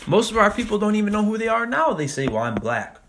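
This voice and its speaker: A man teaching a Bible study, talking casually with frequent "all right?" and reading scripture passages slowly and deliberately. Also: high voice, very animated, a bit bright, a little hiss or hum. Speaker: religious teacher.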